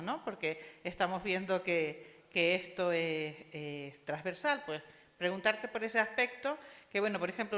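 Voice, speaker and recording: A woman's voice: fairly low pitch, a bit bright. Spanish woman at a panel q&a, rambly academic comments, lots of "no?", long hall echo. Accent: Spanish (Spain). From Spain. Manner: thoughtful, discursive, many tag questions. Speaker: audience member asking questions at an academic panel. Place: university lecture hall.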